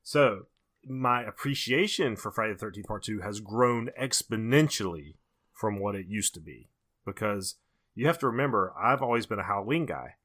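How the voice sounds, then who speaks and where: A man with a slightly low, bright and forceful voice. He talks casually as a podcast co-host, opinionated about films and leaning on his points by restating them. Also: expressive, normal speed. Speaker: horror movie podcast co-host. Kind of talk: casual opinionated film discussion.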